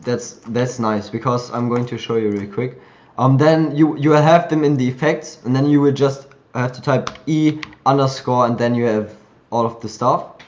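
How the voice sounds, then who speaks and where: A man hosting a live community call, chatting loosely and thinking aloud as he shows software on his screen. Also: mid-range voice, fairly even intonation, moderate pace, highs cut off. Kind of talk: casual screen-share tutorial.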